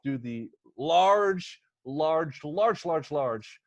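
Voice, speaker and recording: A man's voice: a little high, expressive, very slow, no room sound, dull audio. A man hosting a podcast in casual chat, with a jokey, rambling manner and frequent asides. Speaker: podcast host. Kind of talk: casual chat, introducing topics and pitches.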